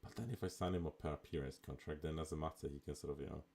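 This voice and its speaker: Very deep, raspy-voiced man. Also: fast. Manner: relaxed, chatty, with fillers. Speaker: streamer. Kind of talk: casual live gameplay commentary.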